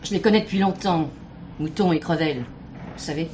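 A woman's voice: sits low, moderate pace, darkish tone, slight background noise, close to an everyday voice. An actress playing a plain-spoken, gossipy, indignant working-class woman giving testimony in colloquial, insinuating French radio drama style. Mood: confiding. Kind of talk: French radio play acting.

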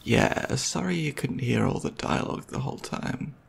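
A young man playing a gentle, nerdy, considerate boyfriend in an intimate ASMR roleplay, talking in a hesitant, soft-spoken, conversational way. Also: mid-range voice, big pitch swings, moderate pace, creaky, slightly rough, exaggerated voice. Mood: playful, easygoing.

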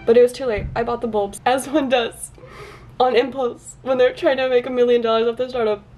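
Young woman, highish voice, casual vlog delivery, chatty, self-deprecating humour, faint music behind. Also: fairly even intonation, brisk, a little mellow. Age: young adult. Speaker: vlogger.

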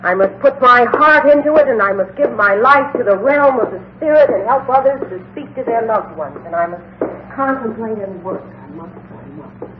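An actress playing a fervent, easily frightened medium, insistent and repetitive, in 1950s radio drama style. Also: female voice, on the high side, lively intonation, moderate pace, slight background noise, natural voice. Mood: fervent, resolute. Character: frightened, fervent phony medium convinced by her brother's ghost. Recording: dull vintage 1950s radio recording.

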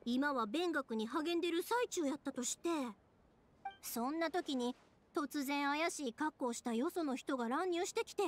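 A woman's voice: high-pitched, normal speed, slight background noise, lightly acted. A woman playing a cheerful, considerate, chatty young woman with a Kansai accent in an anime fantasy game. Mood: friendly, explaining. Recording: music behind the voice.

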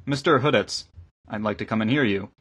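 Man playing a husky, reserved male newcomer. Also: lowish voice, a little fast, a bit bright. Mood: polite, reserved. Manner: polite, uncertain. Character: reserved male newcomer, a curious skeptical visitor. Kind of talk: audiobook character acting.

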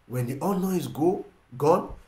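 A middle-aged man with a Ugandan English accent preaching a sermon, emphatic and repetitive, swinging between loud and quiet. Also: fairly high pitch, animated, unhurried, roomy sound, a little hiss or hum. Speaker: pastor. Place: indoor room with plain wall. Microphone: seated facing camera.

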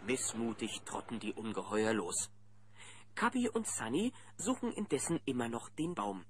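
A man narrating a German children's audio drama as a friendly storyteller, with a husky voice. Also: mid-range voice, sing-song, normal speed, no echo. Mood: engaged storytelling.

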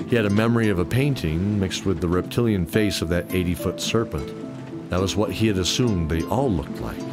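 A man's voice: low-pitched, normal speed. A man giving scripted narration of a fantasy adventure story in an audio drama. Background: music.

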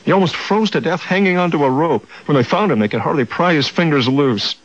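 A man's voice: on the high side, expressive, a little fast, no echo. Worried, earnest man, swinging intonation. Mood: troubled, regretful. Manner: urgent, earnest. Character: worried, loyal friend, earnest married man. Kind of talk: radio drama acting. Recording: dull sound, music behind.

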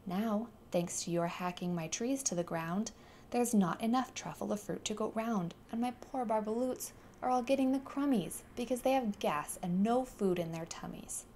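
A woman's voice: medium pitch, moderate pace, mellow, slight background noise, natural voice. A woman voicing a small, grumpy old male forest creature who scolds indignantly. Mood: scolding.

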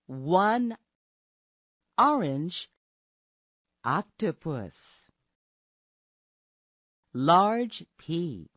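A woman reading a scripted phonics lesson for young children, saying words and short phrases deliberately and separately. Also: on the low side, dry recording, dull audio. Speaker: narrator of a children's alphabet app.

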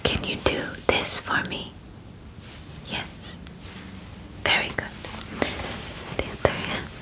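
Woman playing a slow whispering alien examiner. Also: natural voice, poor audio. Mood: calm, methodical. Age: 20s. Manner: slow, deliberate, clinical, formal instructions. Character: calm clinical female alien examiner inspecting an abductee. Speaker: ASMR performer. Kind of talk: whispered ASMR roleplay. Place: bedroom, indoors. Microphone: close microphone.

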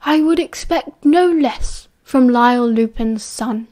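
A woman voicing an old male headmaster wizard, calm and measured, speaking slowly and softly, often near a whisper. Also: on the high side. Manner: slow, soft, half-whispered delivery.

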